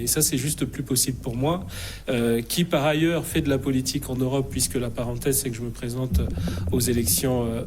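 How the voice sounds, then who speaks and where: A man's voice: mid-range voice, noisy background. A middle-aged man from the Republic of the Congo, a guest on a TV news programme, speaking assertively with frequent fillers and restarts. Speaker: interview guest, think tank president. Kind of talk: TV news studio interview. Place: TV news studio.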